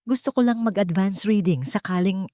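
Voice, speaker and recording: Woman acting a shy, gentle young caregiver. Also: medium pitch, normal speed, no room sound, studio quality, natural voice.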